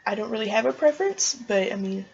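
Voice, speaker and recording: A woman's voice: on the high side, expressive, quick pace, gravelly, darkish tone, husky, highs cut off. A young woman chatting eagerly and casually as an applicant answering questions in a job interview. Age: young adult.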